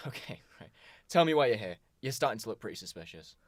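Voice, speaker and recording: A man's voice: lowish voice, sing-song, quick pace, no room sound. A man playing a brusque, suspicious police inspector in an amateur radio drama, businesslike and probing. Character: brusque, suspicious male police inspector questioning circus performers. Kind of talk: amateur 1920s-set radio drama acting.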